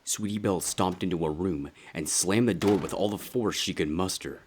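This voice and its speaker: A man reading scripted fan fiction as a dramatic story narrator, with a husky voice. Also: deep voice, normal speed, darkish tone, slight background noise.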